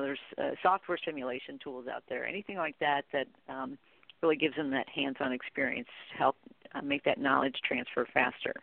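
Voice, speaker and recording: A woman's voice: very deep voice, creaky. A middle-aged woman giving conversational advice as an expert guest in an interview. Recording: dry, thin phone line.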